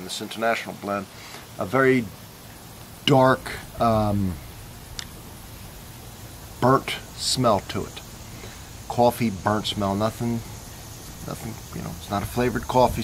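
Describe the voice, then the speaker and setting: A man's voice: mid-range voice, slight background noise. A man casually reviewing coffee in his backyard, talking in an easygoing, rambling way as he thinks aloud. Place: backyard outdoors.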